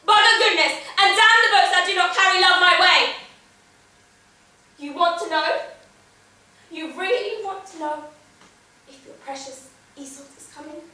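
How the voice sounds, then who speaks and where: High, echoey, bitter unloved wife played by a woman. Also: bright, forceful tone, full character voice. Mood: bitter defiance. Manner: deliberate, dramatic, short weighted phrases. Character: bitter, unloved wife from old legend, wounded, defiant. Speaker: drama student performing an exam monologue. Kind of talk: theatrical stage monologue. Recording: very echoey room, distant mic, faint noise.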